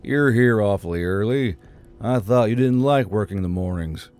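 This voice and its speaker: A man's voice: on the low side, moderate pace, darkish tone, faint music behind, close to an everyday voice. A man voicing a polite, homesick soldier in an audiobook, murmuring his words. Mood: polite curiosity. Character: polite, homesick bearded human patrol soldier, husband and father. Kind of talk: audiobook character acting.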